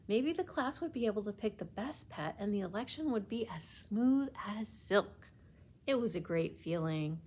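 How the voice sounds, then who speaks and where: A woman working as a library storyteller reads a children's book aloud, with deliberate, expressive phrasing meant for young listeners. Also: medium pitch, slightly rough. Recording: faint background noise.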